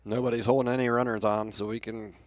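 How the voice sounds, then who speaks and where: A man's voice: medium pitch, level tone, quick pace, no echo. An American man giving conversational color commentary on a baseball game, with plainspoken, coach-like explanations.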